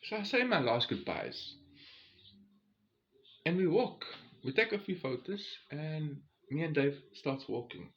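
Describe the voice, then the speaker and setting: A forty-year-old South African man casually telling a personal story to his viewers in an expressive, chatty way. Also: fairly high pitch, a little slow, lo-fi sound, a little hiss or hum. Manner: expressive, chatty, acts out quoted exchanges. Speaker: vlogger.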